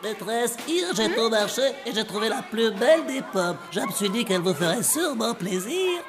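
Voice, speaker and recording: A woman's voice: a little high, animated, normal speed, music in the background, a crowd in the background, lightly acted. A woman voicing a young schoolboy in a cartoon, an eager, ingratiating teacher's pet. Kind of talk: animated series dubbing.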